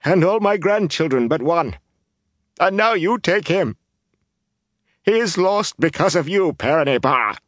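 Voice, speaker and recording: A man's voice: fairly high pitch, expressive, measured pace, pauses often, a bit bright, dry recording, voice pushed up. A man voicing a distraught older grandmother in an audiobook, breathless, pleading and sobbing. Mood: sobbing accusation. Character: frantic weeping older grandmother of a wandering people.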